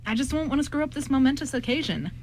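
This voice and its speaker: A woman's voice: high-pitched, lively intonation, very fast. A woman playing an anxious, lovestruck young groom in an amateur radio play, stammering and repeating words. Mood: sheepish, earnest. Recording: clearly noisy amateur recording with hum.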